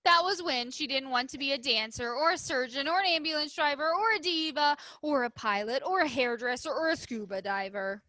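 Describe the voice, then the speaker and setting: High, bright-voiced woman teaching young children. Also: brisk, hardly any pauses, no room sound. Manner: simple explanations with frequent questions to children. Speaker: primary school teacher. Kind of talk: read-aloud and writing lesson for young children.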